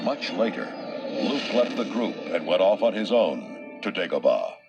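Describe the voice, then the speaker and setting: A man narrates an adventure storybook from a script. His voice is deep, and loud music runs beneath it throughout. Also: very animated, moderate pace, vocal fry, lo-fi sound.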